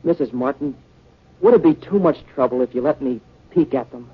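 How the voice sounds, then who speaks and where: A man's voice: mid-range voice, a little fast, husky. An actor playing an eager, polite young grocery delivery boy with an earnest manner, in 1950s radio drama style. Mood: wistful. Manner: earnest, boyish. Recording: dull, band-limited 1950 radio recording.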